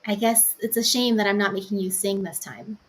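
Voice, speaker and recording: A woman's voice: medium pitch, brisk. A woman hosting a podcast, upbeat and welcoming in casual chat. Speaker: podcast host.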